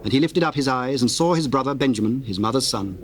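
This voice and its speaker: A man reads scripture as a narrator. His voice is mid-pitched, formal and measured, telling the story in archaic English. The old cassette recording carries a faint noise. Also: animated. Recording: noisy old cassette tape.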